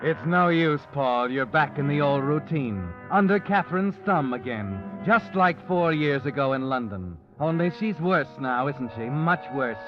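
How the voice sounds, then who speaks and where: A man's voice: a little high, animated, unhurried, hardly any pauses, music in the background. An actor playing a foreboding male narrator who addresses the hero as "you" in an old radio drama. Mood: ominous. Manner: second-person, knowing and foreboding. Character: the Whistler, ominous male narrator speaking to the hero. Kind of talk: 1940s radio acting. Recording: muffled old radio recording.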